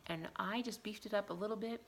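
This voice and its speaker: A woman's voice: medium pitch, quick pace, a bit bright, slight background noise. A woman teaching in an informal, explanatory way, as a trainer showing teachers how to prompt young children. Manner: acts out example questions as if to young children. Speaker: early literacy teacher trainer. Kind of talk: informal instructional talk with demonstrations of prompts to children.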